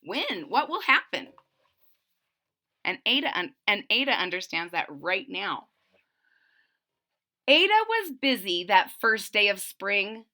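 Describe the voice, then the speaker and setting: A woman reading a rhyming children's picture book aloud, pausing for plain-spoken asides that explain the story to young listeners. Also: medium pitch, pushed and bright. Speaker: read-aloud narrator.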